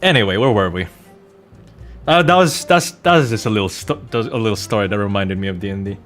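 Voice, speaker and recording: A man streaming a game, chatting casually and telling anecdotes in an animated, joking way with mock innocence. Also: lowish voice, fast, faint music behind. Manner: animated, jokey storytelling with mock-innocent protests. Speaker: streamer playing a video game and host of the channel.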